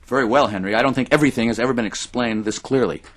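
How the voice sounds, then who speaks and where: An actor playing an affable, matter-of-fact radio interviewer in an audio drama. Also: male voice, mid-range voice, racing, lightly acted.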